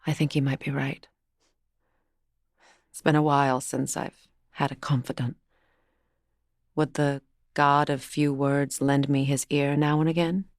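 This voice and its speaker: Grave, proud Norse warrior goddess. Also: female voice, low-pitched, level tone, no room sound, studio quality. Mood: grave, measured. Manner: measured, formal, earnest. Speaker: video game voice actress. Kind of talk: dramatic game dialogue.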